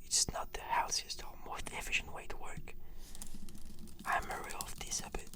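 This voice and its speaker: A woman whispering measured, articulate, scripted answers as the client in an ASMR roleplay.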